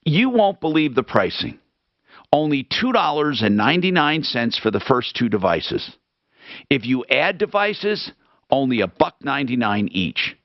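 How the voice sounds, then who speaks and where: Male radio host, animated pitch, dry dull lo-fi sound, brisk ad reads. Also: no echo. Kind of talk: talk radio with live reads.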